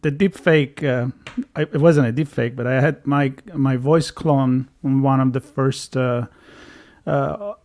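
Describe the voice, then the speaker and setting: A man's voice: mid-range voice, a little mellow. Italian-accented man musing as co-host. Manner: musing, joking, hesitant restarts. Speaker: podcast co-host. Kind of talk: casual interview chat.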